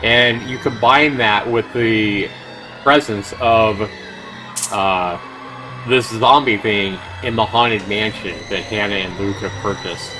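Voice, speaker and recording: A man's voice: on the low side, steady tone, takes it slowly, over music, low-quality recording. A man livestreaming a game, commenting conversationally and emphatically and reading text aloud. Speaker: game streamer.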